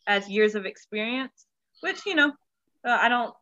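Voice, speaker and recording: Bright-voiced young woman in casual conversation. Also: fairly high pitch, expressive, normal speed, lo-fi sound. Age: 20s. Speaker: children's pastor, interview guest. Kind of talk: casual interview chat.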